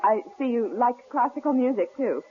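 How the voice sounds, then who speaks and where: An actress playing a nervous young wife in an old radio drama, talking in anxious, hesitant bursts. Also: female voice, on the high side, animated, normal speed, soft background music, natural voice. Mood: wary. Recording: tinny old radio broadcast, faint hiss.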